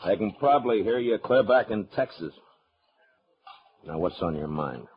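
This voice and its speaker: Deep-voiced, unflappable Old West marshal. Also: male voice, expressive, normal speed, lo-fi sound, noisy background. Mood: stern. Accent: American. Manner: terse, dry, commanding. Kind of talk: old-time radio western acting. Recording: old radio drama, murmuring crowd behind.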